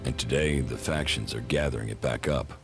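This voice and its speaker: An actor playing a grim, emotionally detached former commander in a video game, speaking in a terse deadpan with a gravelly voice. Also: male voice, very deep voice, brisk. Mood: guarded, questioning. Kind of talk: video game cutscene acting. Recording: echoey reverb, music behind.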